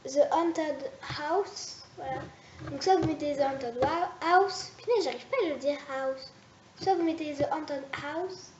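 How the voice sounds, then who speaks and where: High-voiced hesitant young woman, roomy lo-fi. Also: mellow. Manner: hesitant, rambling, many restarts. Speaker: web series creator. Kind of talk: casual vlog pitching story ideas. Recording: roomy, dull lo-fi sound with faint hiss.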